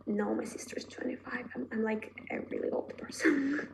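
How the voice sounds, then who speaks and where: Chatty young woman, bright pitch. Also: normal speed, gravelly, in a whisper. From Russia. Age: 20s. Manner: chatty, many fillers like "like" and "I mean". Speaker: marketing manager. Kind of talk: casual chat.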